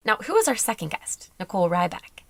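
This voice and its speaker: A woman's voice: medium pitch, sing-song, a little fast, dry recording. Upbeat woman podcast host, dry close mic. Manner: upbeat, playful, emphatic. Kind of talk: scripted intro then interview.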